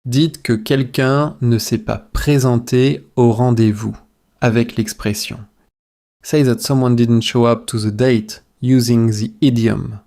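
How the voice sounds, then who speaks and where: A man reading language drills in a measured, repetitive way, phrase by phrase, as a teacher for shadowing practice. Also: mid-range voice, steady tone, mellow.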